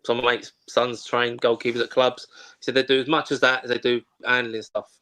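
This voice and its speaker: A man's voice: mid-range voice, flat delivery, fairly quick, bright, forceful tone, slight background noise. A man, a former player chatting on a fan show, telling rambling stories with plenty of banter. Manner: chatty, anecdotal storytelling full of banter. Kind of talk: casual chat.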